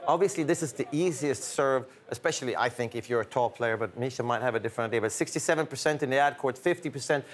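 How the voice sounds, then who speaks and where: A middle-aged man gives relaxed tennis analysis in a TV studio, reading out statistics fluently. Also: mid-range voice. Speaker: studio pundit. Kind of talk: sports analysis.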